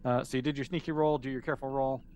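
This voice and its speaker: A man's voice: mid-range voice, little pitch movement, very fast, a little mellow, lo-fi sound, a little hiss or hum. A man casually narrating a tabletop game as game master, explaining in an easygoing, conversational way.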